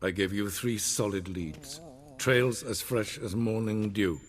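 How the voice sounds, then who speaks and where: A cold, imperious emperor in a fantasy video game. He speaks low and measured, haughty and impatient, his commands carrying through a long hall reverb. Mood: cold menace.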